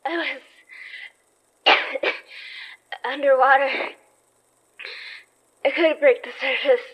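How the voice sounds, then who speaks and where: Woman acting a high-voiced, nervy young investigator. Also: fairly even intonation, slow pace, lo-fi sound. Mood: shaken. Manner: hesitant, nervy. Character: anxious, plucky young female supernatural investigator. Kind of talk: horror audio drama acting.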